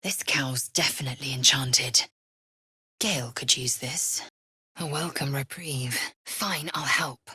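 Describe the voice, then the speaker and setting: A woman playing a fierce, proud alien warrior in a video game, speaking in a haughty, clipped, formal manner with a husky edge. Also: a bass voice, a little slow, pushed and bright, dry recording, studio quality, exaggerated voice. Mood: low, intent. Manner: imperious, clipped, formal phrasing. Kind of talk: video game character acting.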